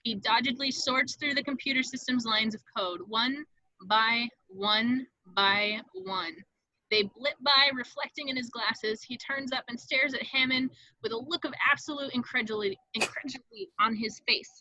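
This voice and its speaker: Bright-voiced woman narrating screenplay directions. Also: on the high side, moderate pace, dry recording, lo-fi sound.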